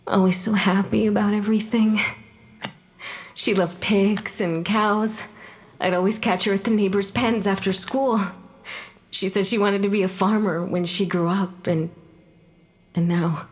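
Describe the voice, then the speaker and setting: Slow-spoken, emotional ghost of a murdered mother, acted by a woman. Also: medium pitch, steady tone, natural voice. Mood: grieving, bitter. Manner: emotional, sharp-tongued, halting when upset. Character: ghost of murdered young mother, indignant and grieving. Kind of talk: dramatic audio fiction acting.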